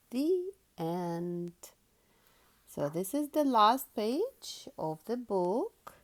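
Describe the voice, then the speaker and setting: High-pitched, animated woman storyteller, very unhurried. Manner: animated, sing-song, carefully paced. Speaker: children's storyteller. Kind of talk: slow expressive storybook read-aloud for children.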